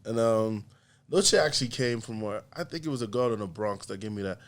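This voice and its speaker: A young man talking casually as a podcast guest, in a relaxed, rambling way with frequent laughs. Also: on the low side, a little fast. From USA. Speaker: podcast guest, artist and comedian. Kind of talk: casual conversation.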